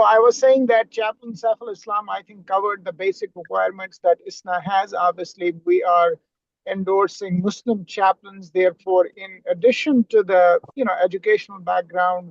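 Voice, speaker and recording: A man representing a chaplain endorsing body, explaining requirements on a video call in a measured, formal way. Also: high voice, no echo. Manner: measured, formal, occasional filler. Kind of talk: explaining a process on a panel. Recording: dry, dull lo-fi call audio.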